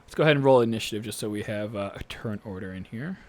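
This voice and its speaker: A man's voice: fairly low pitch, fast talker, a little mellow. A young man narrating as dungeon master, hesitant, with frequent uh and restarts. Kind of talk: narrating a tabletop game.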